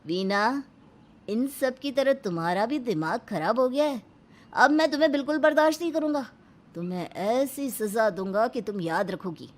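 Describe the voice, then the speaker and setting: Here is a woman acting out a domineering husband from an Indian moral story. The voice is somewhat high, scolding and commanding, with dramatic delivery. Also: moderate pace, close to an everyday voice. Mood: angry, scolding.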